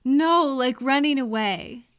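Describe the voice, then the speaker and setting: A woman playing a kind, caring friend in a comfort roleplay audio, speaking gently and reassuringly with soft questions. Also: high voice, takes it slowly. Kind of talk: comfort audio roleplay, one-sided conversation.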